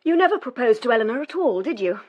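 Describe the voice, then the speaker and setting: A woman's voice: fairly high pitch, big pitch swings, fast talker, lo-fi sound. An actress playing an earnest, insistent English sister managing her family in a period radio drama. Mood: reproachful, resigned.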